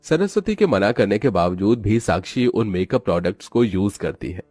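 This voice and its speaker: A man's voice: fairly low pitch, lively intonation, normal speed, darkish tone, no echo, faint music behind. A man narrating a scripted moral story as its storyteller.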